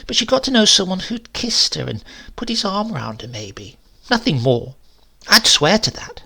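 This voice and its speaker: A man's voice: high voice, big pitch swings, normal speed, gravelly, sharp tone, slightly rough, voice pushed up, heavily acted. A man voicing a chatty, defensive landlady of nearly seventy in a horror story reading, rambling and confiding in colloquial phrases. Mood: gossipy, insistent. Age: older.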